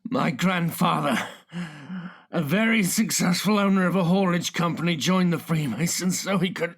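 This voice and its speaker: A man's voice: high voice, a bit bright, pitched above the performer's own voice, full character voice. A man voicing a wounded, bitter man who talks through pain with strained, mocking laughter, in a dramatic audiobook. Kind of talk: dramatic audiobook character acting.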